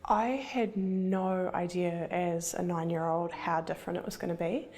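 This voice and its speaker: Gravelly-voiced young woman from Zimbabwe. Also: fairly low pitch, fairly even intonation, unhurried, darkish tone. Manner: earnest and reflective. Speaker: interviewee. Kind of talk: documentary interview.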